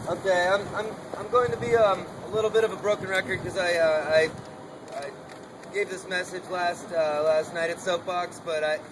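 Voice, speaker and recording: A man's voice: high voice, fairly even intonation, normal speed. A man stepping up to speak at an outdoor protest gathering, nervous and stammering, often restarting his sentences. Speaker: protester at an open-mic speech. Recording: noisy outdoor recording.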